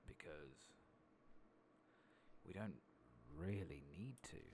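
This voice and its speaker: A man doing soft-spoken ASMR commentary over a game, casually rambling and thinking aloud with the odd swear. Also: deep voice, expressive, very slow, a little hiss or hum. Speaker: gaming streamer.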